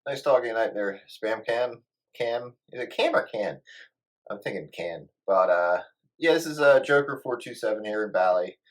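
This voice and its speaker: A man who works a radio as a hobby, chatting in a casual, low-key and slightly hesitant way. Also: expressive, moderate pace, low-quality recording. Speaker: amateur radio operator. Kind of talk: casual sign-off chat.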